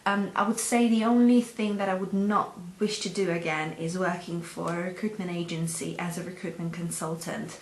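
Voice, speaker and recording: A woman's voice: lowish voice, steady tone, normal speed, hardly any pauses. Hesitant woman, echoey room. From Hungary. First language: Hungarian. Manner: hesitant, many ums and uhs. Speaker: job seeker. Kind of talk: self-introduction video to employers. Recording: echoey room, faint background hum.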